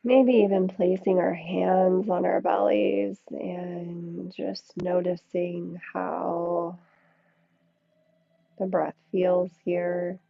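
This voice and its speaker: A woman leading a yoga class with slow, soothing guided instruction, speaking in short phrases with long pauses. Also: lowish voice, creaky, no echo. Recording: thin, phone-like band-limited sound, dry.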